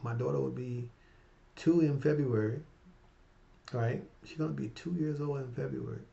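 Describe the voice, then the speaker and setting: A man in his fifties with a gravelly, dark voice talks to camera in a loose, rambling, heartfelt way. He keeps checking in with his viewers. The sound is a little dull, like a plain home video recording. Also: mid-range voice, fairly even intonation, moderate pace. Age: 50s. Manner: rambling, repeats "right?" and "you guys"; warm and heartfelt, emotional about his mother. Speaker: finance vlogger. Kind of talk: casual motivational talk to camera.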